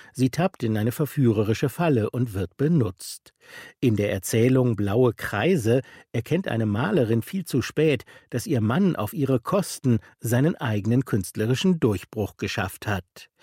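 A male critic reads a scripted radio book review in a measured, articulate manner. His tone is dark and a little husky, and the studio sound is close and dry. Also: mid-range voice, no room sound. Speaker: literary critic reporter.

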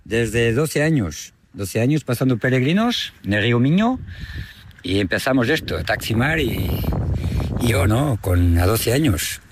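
A man's voice: mid-range voice, very animated, a little slow, a little hiss or hum. Portuguese man chatting in Spanish. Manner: chatty, ends phrases with a questioning "no?". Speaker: boatman and fisherman. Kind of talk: casual interview on a boat.

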